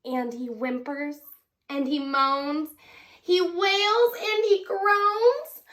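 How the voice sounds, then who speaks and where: A woman storyteller reading a picture book expressively to young children, drawing out words in an animated way. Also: high voice. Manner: deliberate, drawn-out, animated delivery for young children. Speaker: children's read-aloud storyteller.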